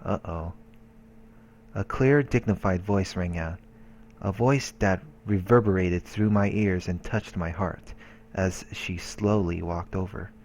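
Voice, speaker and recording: Deep-voiced man reading game narration. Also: slow pace, darkish tone, slight background noise. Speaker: let's play host. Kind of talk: reading visual novel narration aloud. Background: game background music.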